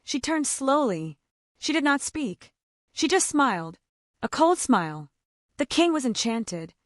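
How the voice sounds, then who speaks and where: A woman storyteller narrating a scripted folktale in a theatrical, suspenseful manner with short measured phrases. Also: sits high, big pitch swings, no room sound, clean studio sound.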